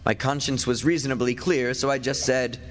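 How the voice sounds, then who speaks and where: A man's voice: mid-range voice, fairly even intonation, a little fast, noisy background, lightly acted. An actor playing a very old, henpecked husband of a witch, narrating a radio play in a wry, grumbling, confiding way. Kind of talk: stage radio play, 1940s-style narration. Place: theatre stage before an audience. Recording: echoey stage hall, music behind.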